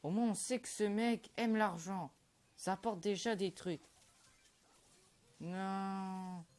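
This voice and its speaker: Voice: mid-range voice, steady tone, slow pace, a little mellow. A young streamer talks over his match in a loose, mumbled way. He drifts along with frequent fillers and half-finished thoughts, chatting to his viewers in an offhand manner. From Quebec, Canada. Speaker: gaming YouTuber. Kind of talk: casual gameplay commentary.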